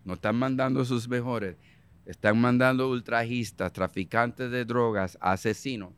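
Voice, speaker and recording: A man's voice: medium pitch, steady tone, normal speed, clean tone. A man with a Puerto Rican Spanish accent, a guest in a political interview, talking emphatically, repeating himself and slipping into English phrases. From Puerto Rico.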